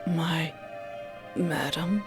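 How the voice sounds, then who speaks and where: A woman voicing a needy, obsessive male mold creature in a visual novel, halting and menacingly devoted. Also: low voice, soft background music, full character voice. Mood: timid, hurt. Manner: halting, pleading, refers to himself in third person.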